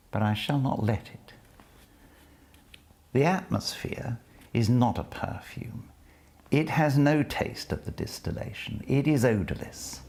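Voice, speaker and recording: Slow, low-voiced man reading poetry. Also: darkish tone. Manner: measured, deliberate delivery with dropping phrase ends. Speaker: poetry reader and lecturer. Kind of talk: spoken introduction and poetry reading.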